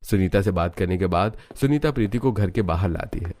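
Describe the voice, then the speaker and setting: A man's voice: low-pitched, animated, brisk, warm tone. A man narrating a scripted family story as a storyteller.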